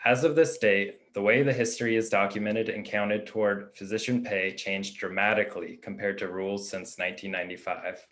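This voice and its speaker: Casting: male explainer, somewhat deep, scripted panel delivery. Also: steady tone, brisk, few pauses, a bit bright.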